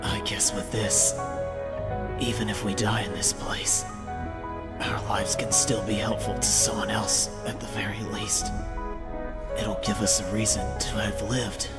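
A man's voice: fairly low pitch, flat delivery, measured pace, in a large hall, lightly acted. A man playing a loyal, supportive young companion in an anime fan dub, speaking earnestly. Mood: moved, solemn. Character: loyal, reassuring young male companion in survival drama. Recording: loud music behind the voice.

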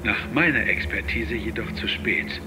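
A man's voice: lowish voice, over music, natural voice. An actor playing a grave male virologist who dictates a formal, clinical audio log in a German audio drama. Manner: formal, clinical, measured delivery.

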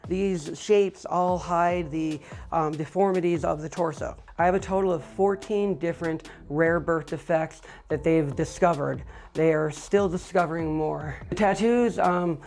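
A middle-aged man with a high voice, speaking matter-of-factly in an interview, with frequent fillers. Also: unhurried, gravelly, a little mellow. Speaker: record holder. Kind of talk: interview monologue. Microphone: lapel.

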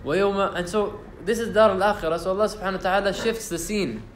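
A man's voice: high-pitched, fairly even intonation, racing, echoey room, slight background noise. A young man giving an informal class on the Quran, talking casually with fillers and restarts and reciting Arabic verses. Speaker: Quran study teacher. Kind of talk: informal tafsir class talk. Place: university lecture room.

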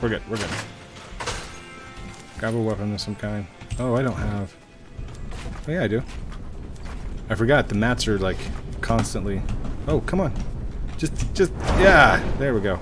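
A man's voice: fairly low pitch, expressive, a little slow, pauses often, music in the background. Chatty man in his thirties, headset. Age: 30s. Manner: rambling, self-mocking, chatty. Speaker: gaming YouTuber. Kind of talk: casual gameplay commentary. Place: home room at a desk.

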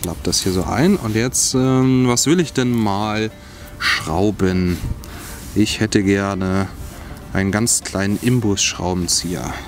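A man's voice: fairly low pitch, slow pace, faint music behind. A young man giving a casual hands-on review of outdoor gear, outdoors in a bare forest, thinking aloud as he tries things out.

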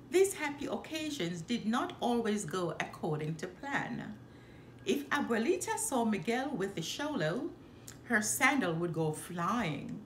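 A middle-aged woman reading a children's storybook aloud with careful, expressive phrasing, as a storyteller. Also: mid-range voice. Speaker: storyteller, channel host. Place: indoor living room by a fireplace. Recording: roomy echo, some background noise.

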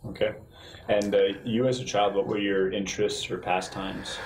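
A male interviewer, off camera, asks polite questions that prompt his guest to go on. His voice sits low. A steady hiss runs under the recording. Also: moderate pace, rough recording. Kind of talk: oral history interview questions. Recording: noticeable background hiss.

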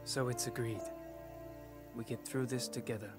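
A man playing a wary, lost young adventurer in a video game, speaking in halting short phrases. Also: mid-range voice, fairly even intonation, lightly acted. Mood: wary, curious. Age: young adult. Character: young male adventurer, wary, lost, talking to his troll. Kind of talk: video game cutscene acting. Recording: loud music behind.

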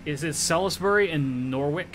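A man talks casually while playing a game live, often swearing and talking back at the enemies on screen. His voice sits a little high for a man. Game sounds play clearly behind him. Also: moderate pace, a bit bright, a little hiss or hum. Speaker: streamer. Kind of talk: live gameplay commentary.

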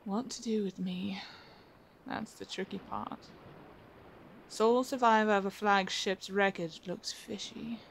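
A woman's voice: medium pitch, fairly even intonation, unhurried, vocal fry, slight background noise. Woman acting a defiant, wry captive lady admiral. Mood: cool, bargaining. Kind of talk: ASMR roleplay acting.

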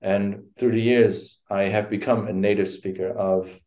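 A man's voice: on the low side, steady tone, unhurried, a little mellow. A middle-aged man with a Dutch accent, a guest speaking on a video call, hesitant with many fillers and restarts. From Netherlands. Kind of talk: casual interview. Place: home room.

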